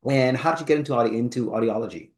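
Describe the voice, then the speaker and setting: A man hosting a podcast interview, speaking in a halting way and often repeating words. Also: medium pitch. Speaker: podcast host, personal trainer. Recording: echoey room.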